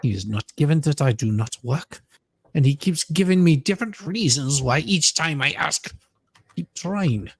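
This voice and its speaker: A theatrical, pleading woman prisoner played by a man in a comedic letter reading. The voice sits fairly high with a gravelly creak. Delivery is drawn-out and full of innuendo, recorded very dry. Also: no echo. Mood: aggrieved, theatrical. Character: breathy, pleading, suggestively moaning female prisoner writing to judge.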